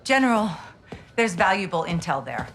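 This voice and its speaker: A woman's voice: medium pitch, big pitch swings, normal speed. A woman playing a shrewd, composed intelligence officer in a military video game, speaking with an American accent in a clipped, authoritative, controlled way. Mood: firm, composed. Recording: loud music behind the voice.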